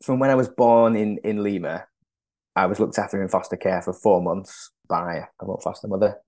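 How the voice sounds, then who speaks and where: A man in his thirties, born in Peru and raised in England, thoughtfully recalling his past as a podcast guest with many pauses and restarts. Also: low-pitched, fairly even intonation, a little mellow, no room sound. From born in Peru, raised in northern England. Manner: thoughtful, hesitant, frequent restarts and ums. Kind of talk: conversational interview.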